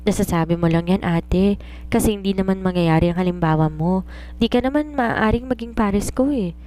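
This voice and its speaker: A woman's voice: mid-range voice, moderate pace, mellow, close to an everyday voice. Give a naive young housemaid from a romance audiobook, acted by a woman narrator. She is curious and dreamy, asking her questions eagerly and with respect for her elder. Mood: doubtful. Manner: inquisitive, respectfully addressing an elder. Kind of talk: audiobook character dialogue.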